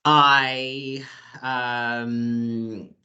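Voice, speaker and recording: A speaker from Kenya introducing themself on a video call, hesitant with ums. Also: female voice, rumbling low, level tone, drawn-out words, sharp tone, clear, resonant tone, highs cut off. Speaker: panel speaker. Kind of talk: self-introduction.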